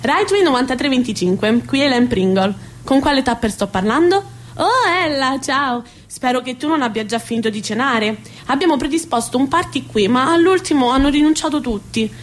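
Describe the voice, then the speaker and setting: An actress playing a flustered, scatterbrained young society daughter in a theatrical radio comedy, fretful and excitable. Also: female voice, sits high. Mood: polite, hopeful.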